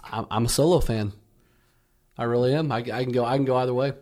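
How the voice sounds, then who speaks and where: Jovial man, mid-pitched voice. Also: fairly even intonation, fast, darkish tone. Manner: jovial, enthusiastic. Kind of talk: casual chat.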